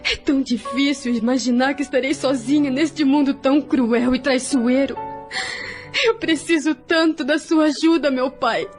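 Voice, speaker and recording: A woman's voice: sits high, fairly even intonation, moderate pace, faint music behind, natural voice. An actress playing a devoted, emotional wife and mother in an audio drama, with a Brazilian accent and an earnest, pleading manner. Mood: tearful despair. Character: devoted wife, then grieving, despairing widowed mother. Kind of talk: melodramatic audio drama acting.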